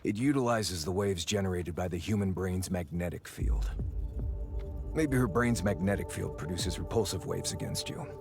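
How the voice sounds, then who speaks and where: An actor playing a deferential but blunt inventor aide in a dramatic game, explaining technical things in a measured, cautious way. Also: male voice, deep voice, vocal fry, music in the background. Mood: cautious, explanatory.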